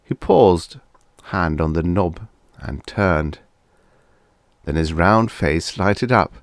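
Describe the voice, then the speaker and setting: A man's voice: low voice, slow pace, no echo, studio quality. A man reading vintage fiction aloud as an audiobook narrator, in a scripted storytelling style.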